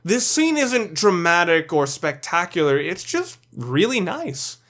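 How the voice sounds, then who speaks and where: Higher-pitched man doing blunt countdown commentary. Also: very animated, unhurried, fairly bright tone. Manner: blunt, opinionated. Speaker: video reviewer narrating a countdown list. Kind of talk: scripted video commentary with profanity.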